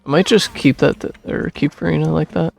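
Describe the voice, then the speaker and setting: Very gravelly, creaky male game streamer. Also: on the high side, fairly even intonation, fairly quick, no echo. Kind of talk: casual gameplay commentary and reading game text aloud. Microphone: close streaming microphone, dry. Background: game music.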